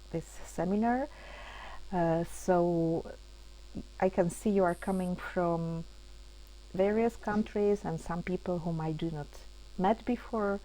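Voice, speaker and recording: A woman hosting an online webinar in English, speaking haltingly with many fillers and restarts over a computer mic on a video call. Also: fairly low pitch, mellow, low-quality recording. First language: Czech. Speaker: webinar host and project coordinator. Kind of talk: hesitant opening of an online webinar.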